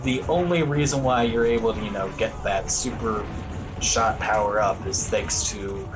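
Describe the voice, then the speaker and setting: A man's voice: on the low side, moderate pace, over music. A man giving casual, wry, self-deprecating live commentary as he plays through a video game as a speedrunner. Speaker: speedrunner commentating on own play.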